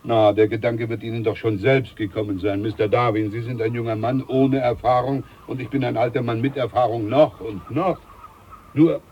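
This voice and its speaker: A man's voice: mid-range voice, fairly even intonation, moderate pace, slightly rough, muffled recording. A man playing a jovial, scheming older ship's doctor in a German radio play, pompous, overfamiliar and emphatic. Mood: confiding, self-important.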